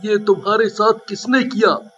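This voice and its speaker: Guy acting as earnest young grandson, grown up and curious, high voice, cartoon horror story, muffled lo-fi audio. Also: normal speed, fairly bright tone. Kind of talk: animated Hindi horror story dialogue.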